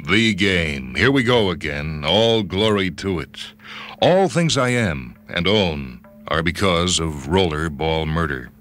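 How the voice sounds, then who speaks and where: A man's voice: deep voice, a bit bright. A man voicing a tough, boastful star of a brutal sport, telling his story with intense swagger in a dramatic radio reading. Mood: reverent, fierce. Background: background music.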